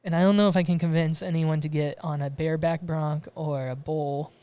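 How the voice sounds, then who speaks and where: A man's voice: a little high, steady tone, fairly quick, warm tone, clean tone. A man from Romania hosting a podcast from his garage studio, chatting casually and rambling with frequent restarts. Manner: rambling, restarts and laughs often. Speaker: podcast host. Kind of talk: casual chat.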